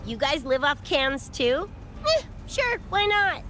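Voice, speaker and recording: A woman's voice: sits high, sing-song, slow pace, pushed and bright, slight background noise, full character voice. A woman voicing a brash, cocky young mercenary with slangy, streetwise swagger in a video game. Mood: cocky, playful. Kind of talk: animated video game voice acting. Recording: music under the voice.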